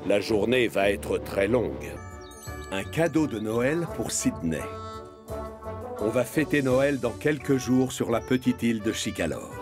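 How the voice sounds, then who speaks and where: A man's voice: medium pitch, lively intonation, a little slow. A man narrating a children's cartoon from a script as its storyteller. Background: background music.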